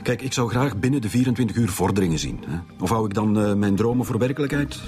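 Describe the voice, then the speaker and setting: A man's voice: lowish voice, lively intonation, fast talker, darkish tone, natural voice. A man with a Flemish accent playing a demanding, sceptical, pompous police director in a radio drama.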